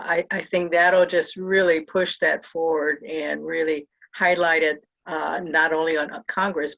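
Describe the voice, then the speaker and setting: A woman's voice: sits low, vocal fry, a bit bright, low-quality recording. A woman from Hawaii, a workshop participant, offering grateful comments on a video call.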